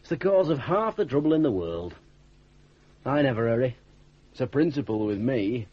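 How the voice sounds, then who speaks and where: A dull, lo-fi radio drama recording of an easygoing, hapless young man from a Northern English comedy. His husky voice rises and falls freely as he rambles mildly and apologetically, shying from any argument. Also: mid-range voice, sing-song, moderate pace. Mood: placid, reasoning. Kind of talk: 1980s radio drama acting.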